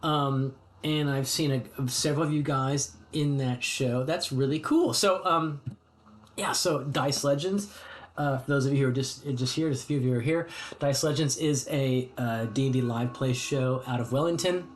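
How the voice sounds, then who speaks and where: A man's voice: mid-range voice, normal speed, a little hiss or hum. An American man chatting casually with his live stream viewers from his home hobby room, rambling and friendly with many restarts. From United States. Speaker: streamer host.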